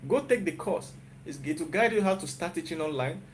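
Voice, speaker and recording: A man's voice: a little high, animated, fairly quick, a little hiss or hum. A man with a Nigerian accent, a YouTuber talking to viewers in a live stream, urging them on and checking in with them again and again. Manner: motivational, repetitive, checks in with "you get?". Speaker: YouTuber and software developer. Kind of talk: live-stream pep talk.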